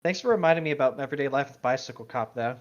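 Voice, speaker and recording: A man's voice: mid-range voice, rapid-fire, lo-fi sound. A man chatting casually as a co-host, teasing in an offhand way with dry humour. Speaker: co-host in a gaming chat. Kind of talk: casual chat.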